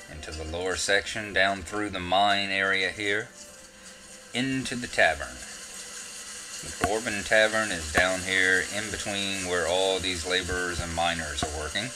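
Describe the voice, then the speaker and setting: A man's voice: low-pitched, rough recording. A man with a Southern US accent giving casual, rambling gameplay commentary with self-mocking asides. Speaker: game walkthrough narrator.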